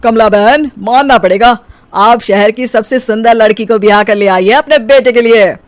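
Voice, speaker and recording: A woman's voice: on the high side, normal speed, natural voice. A woman voicing a nosy, smug middle-aged male neighbour in a cartoon story, ingratiating and teasing. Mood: flattering, curious. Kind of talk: cartoon story character acting.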